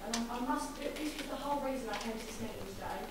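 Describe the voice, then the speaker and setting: A woman in her twenties speaking up at a public meeting, forceful and indignant as she describes how she was treated. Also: fairly high pitch, fast, a crowd in the background. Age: 20s. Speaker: audience member raising a complaint. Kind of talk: impassioned public-meeting statement. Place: large public meeting hall. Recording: very echoey hall, far from mic, noisy.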